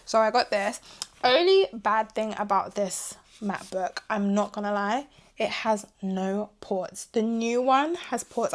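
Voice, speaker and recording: This is a young woman, talking to camera in a loose, chatty way. She is animated and wanders off into little asides. Her voice is worn and a touch hoarse. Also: mid-range voice. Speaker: vlogger reviewing her tech purchases. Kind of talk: casual chat to camera.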